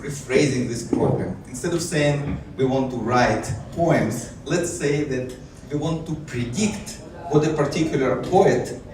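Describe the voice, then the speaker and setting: Man speaking in an echoey conference hall. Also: mid-range voice. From Israel. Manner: hesitant, many fillers and restarts, light self-amused laughs. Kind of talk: technical conference talk. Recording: echoey hall, distant mic, faint noise.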